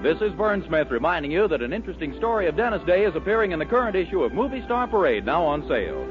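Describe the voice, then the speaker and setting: A man's voice: fairly high pitch, sing-song, fast, over loud music. An American man announcing in a polished 1940s radio sales style, on a muffled old recording. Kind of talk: 1940s radio advertising and sign-off.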